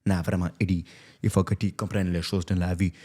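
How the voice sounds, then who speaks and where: A man voicing a kindly, philosophical older uncle who gives earnest life advice in a heavy Senegalese French accent, lower than his own voice. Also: level tone, brisk, dark tone, slightly rough. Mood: advising.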